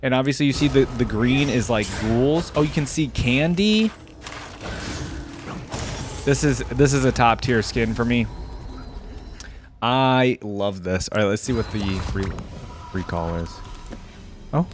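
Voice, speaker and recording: A man's voice: medium pitch, lively intonation, unhurried, pauses often, background noise. A man giving casual reaction commentary on video game skins, rambling and interjecting as he thinks aloud.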